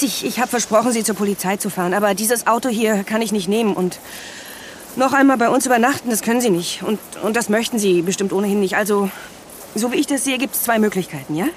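An actress playing an upset, protective woman in a naturalistic audio drama, speaking haltingly and earnestly. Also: fairly high pitch, slight background noise, close to an everyday voice. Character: Sandra, upset, drunk, protective woman among friends.

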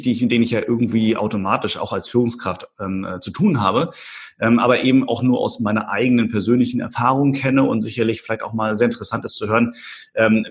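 A man's voice: medium pitch, breathy edge. A man hosting a podcast interview, speaking hesitantly with many fillers and enthusiastic reactions. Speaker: podcast host.

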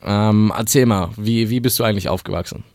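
Easygoing male host, low voice. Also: fairly quick, a little mellow, no echo, studio quality. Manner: easygoing, short slangy reactions. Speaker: interview host. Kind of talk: casual interview.

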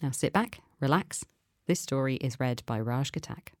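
A woman's voice: rumbling low, animated, moderate pace, darkish tone, dry recording, clean studio sound. A woman hosting a podcast, reading a scripted introduction. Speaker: podcast host.

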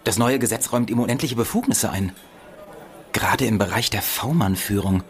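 An actor playing an inquisitive, sceptical journalist in a political radio play, with a probing, wry manner. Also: male voice, lowish voice, normal speed, some chatter behind, lightly acted.

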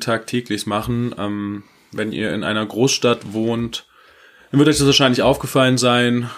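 A man co-hosting a casual political podcast, speaking bluntly and informally, appealing directly to listeners and reading submitted stories aloud. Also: fairly low pitch. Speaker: podcast co-host.

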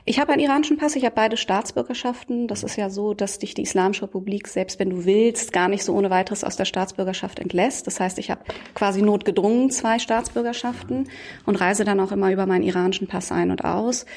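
Fast-talking woman explaining calmly. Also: mid-range voice. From Iran, raised in Germany. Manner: fluent, explanatory, frequent fillers. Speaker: Iran researcher, guest. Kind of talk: interview answers.

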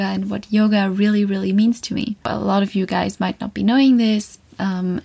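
Young German-accented woman, gravelly voice. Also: medium pitch, darkish tone. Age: 20s. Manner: chatty, laughs between phrases, frequent ums. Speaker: podcast host. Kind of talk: casual solo podcast talk. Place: small wardrobe.

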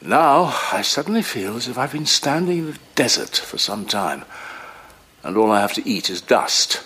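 An actor playing an earnest, brooding middle-aged doctor in an audio drama, speaking in a measured, reflective way. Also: male voice, mid-range voice, big pitch swings, fairly bright tone, breathy edge. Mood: impassioned, brooding.

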